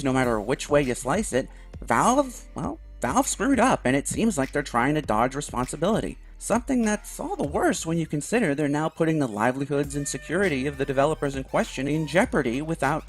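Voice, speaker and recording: Guy doing opinion commentary, kinda high voice, super expressive and wry, music playing behind him. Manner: emphatic, wry, occasionally sarcastic. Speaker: video commentator. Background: background music.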